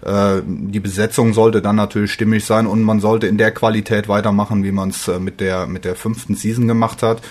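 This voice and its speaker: A man with a slightly low voice talks casually as a guest on a fan podcast. He phrases things haltingly, often doubling back on his words. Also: level tone.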